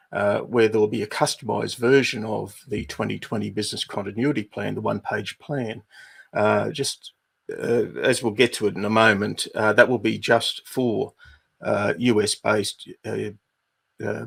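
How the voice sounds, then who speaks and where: A middle-aged Australian man with an Australian accent, hosting a livestream and walking viewers through housekeeping in an unhurried way with many fillers. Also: lowish voice, fairly bright tone. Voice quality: gravelly, husky. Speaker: livestream host, business advisor.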